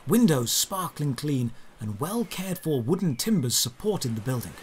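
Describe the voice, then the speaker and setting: Expressive, slightly husky male fantasy storyteller. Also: fairly high pitch. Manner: measured, descriptive storytelling with dramatic phrasing. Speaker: narrator. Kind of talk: first-person scripted fantasy audio drama narration.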